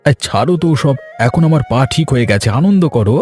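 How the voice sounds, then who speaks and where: Guy voicing nice easygoing village husband in a cartoon, talks quick, little music behind him. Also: mid-range voice, animated, darkish tone, slightly rough. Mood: placating. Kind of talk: cartoon voice acting.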